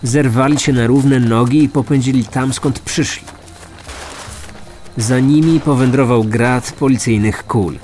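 A man narrating a scripted true crime story as host, in a measured, serious manner. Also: medium pitch, darkish tone. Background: music.

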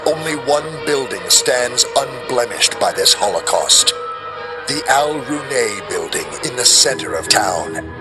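A man's voice: medium pitch, lively intonation, a little slow, rough recording. A man reading scripted, dramatic narration as the narrator of an audio drama. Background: music.

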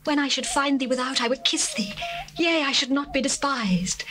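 A woman voicing a young bride who longs for her beloved, in an earnest, measured dramatized scripture reading. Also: high-pitched, pushed and bright. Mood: longing. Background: faint music.

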